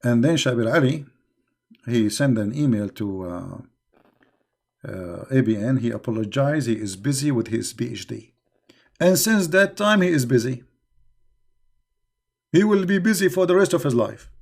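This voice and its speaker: A man whose first language is Arabic, hosting a livestream and telling stories casually in English, mocking and animated, with many fillers and non-native grammar. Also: medium pitch, creaky. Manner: animated, mocking, quick bursts in retold quotes. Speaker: livestream host. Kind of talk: casual storytelling to a live chat.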